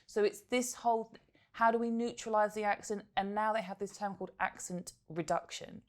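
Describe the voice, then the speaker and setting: A woman hosting a podcast in casual conversation. She talks in a lively way and acts out other people's words. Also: mid-range voice, brisk. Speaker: podcast host.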